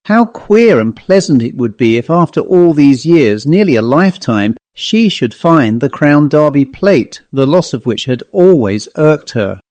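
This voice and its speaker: A man reading a classic ghost story as an audiobook narrator, in a measured, literary manner. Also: fairly high pitch, sing-song, a little mellow, dry recording.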